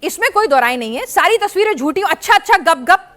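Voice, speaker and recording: A woman's voice: extremely high pitch, animated, fast talker, fairly bright tone. A woman anchoring a heated TV debate, firmly cutting in to keep panelists in order. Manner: firm, keeps cutting in to restore order.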